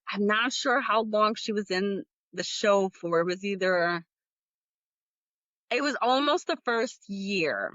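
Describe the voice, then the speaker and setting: A woman's voice: medium pitch, a bit bright, no room sound, dull audio. A woman hosting her own podcast, talking casually and candidly, rambling with frequent fillers. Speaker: podcast host. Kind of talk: casual solo podcast talk.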